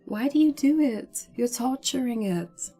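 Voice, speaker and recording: Woman doing a little storybook girl, higher voice, earnest n insistent, asks stuff boldly. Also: animated, unhurried, dark tone, husky, faint music behind, heavily acted. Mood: upset, indignant. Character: plucky, questioning young girl in a storybook.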